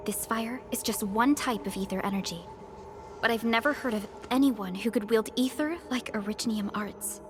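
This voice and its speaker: Woman playing a courteous, higher-voiced learned woman. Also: natural voice. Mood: thoughtful. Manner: measured, formal, explanatory. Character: calm, courteous, learned female ally. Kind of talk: video game voice acting. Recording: game music behind the voice. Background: game soundtrack.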